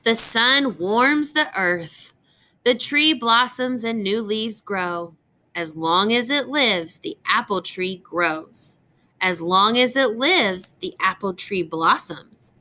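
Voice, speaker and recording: A woman reading a picture book aloud as a teacher would, phrasing it deliberately for young children and addressing them directly. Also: mid-range voice, pushed and bright.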